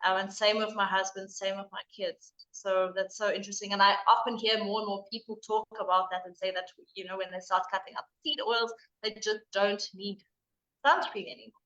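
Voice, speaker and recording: A woman from South Africa hosting a live interview, chatting casually and asking quick follow-up questions. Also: mid-range voice, fairly even intonation, sharp tone. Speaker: host. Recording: roomy, dull-sounding video call.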